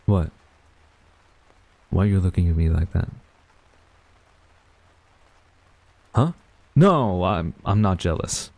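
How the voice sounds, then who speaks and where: Deep-voiced, grumbling tsundere college guy played by a man. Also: lively intonation, vocal fry, a little mellow, no room sound, heavily acted. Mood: flustered, defensive. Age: young adult. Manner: flustered, grumbling, halting denials. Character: tsundere young male university student rival, defensive, secretly smitten. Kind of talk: romantic audio roleplay acting.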